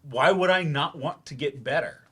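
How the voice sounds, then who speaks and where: A man's voice: a little high, normal speed, sharp tone, husky. A male actor in a staged play reading, playing a frustrated, defensive therapy patient who talks in a hesitant, grudging way. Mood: resistant, shaken. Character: defensive, frustrated man in therapy, once-promising writer turned teacher.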